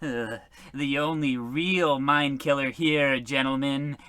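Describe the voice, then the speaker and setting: Mad writer villain: menacing theatrics, unhurried pacing, tense forceful voice, radio play. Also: male voice, medium pitch, fairly even intonation, smooth, no echo. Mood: gloating. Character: gloating villainous novelist, a doom-mongering madman. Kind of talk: old-time radio play comedy acting.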